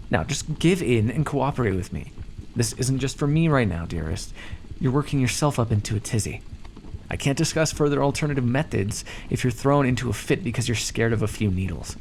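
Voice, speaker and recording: A man playing a calm, condescending vampire captor in an ASMR roleplay, teasing and coaxing as he talks. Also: medium pitch, gravelly, a little hiss or hum. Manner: teasing, patronizing, coaxing, hesitant stammers. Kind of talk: ASMR roleplay, scripted monologue.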